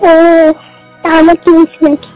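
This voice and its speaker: A woman's voice: normal speed, darkish tone. A young woman with a Bangladeshi Bengali accent chatting casually and intimately on a recorded phone call. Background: music.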